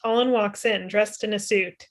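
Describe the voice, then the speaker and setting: Young woman, scripted narration, matter-of-fact, medium pitch, video call. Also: fairly even intonation, normal speed. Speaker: stage directions reader. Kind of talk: scripted narration at a table read. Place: indoor room at home.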